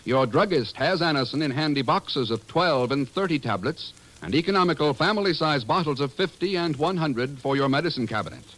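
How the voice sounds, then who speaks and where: A man's voice: fairly high pitch, animated, brisk, hardly any pauses, fairly bright tone, low-quality recording. A man reading scripted copy as a polished, emphatic announcer in 1950s radio style.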